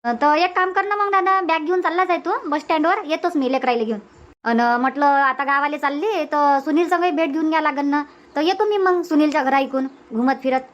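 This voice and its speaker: High-voiced Varhadi village woman played by an actor. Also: a little fast, highs cut off, lightly acted. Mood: busy, agreeable. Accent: Varhadi Marathi. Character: chatty village woman in a Marathi family cartoon comedy. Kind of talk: cartoon comedy dialogue in rural dialect.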